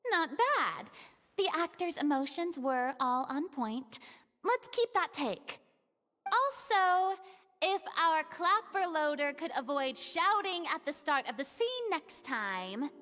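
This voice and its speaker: Casting: flamboyant young film director for a game, very high lively voice, theatrical orders. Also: female voice, slow, exaggerated voice. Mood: composed, instructive. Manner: theatrical, commanding. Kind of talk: video game voice acting. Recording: long reverb, faint music behind.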